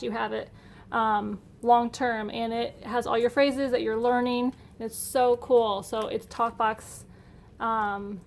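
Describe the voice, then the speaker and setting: Upbeat, higher-voiced woman chatting casually. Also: vocal fry, slight background noise. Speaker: homeschool vlogger. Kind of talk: casual unboxing narration. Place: indoor room at home.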